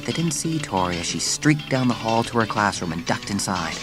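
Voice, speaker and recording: A woman's voice: extremely low pitch, animated, brisk. A woman reading a children's story as a scripted, dramatic audiobook narration. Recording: music under the narration. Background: music.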